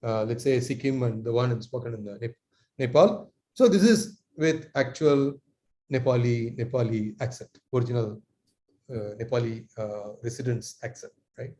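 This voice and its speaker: A man from India giving an earnest online talk as lecturer, pausing often with fillers. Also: medium pitch, darkish tone, a little hiss or hum. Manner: earnest, many filler pauses.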